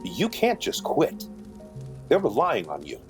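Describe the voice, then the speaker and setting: An actor playing a patient, protective middle-aged father in an audio drama, speaking in a measured, steady way. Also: medium pitch, faint music behind. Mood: shocked, reproachful.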